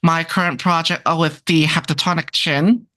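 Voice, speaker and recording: A speaker with a medium-pitched voice discusses things in an informal, scholarly way. The delivery is hesitant, with pauses to search for the right word. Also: fairly even intonation, a bit bright. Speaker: textbook author and music researcher.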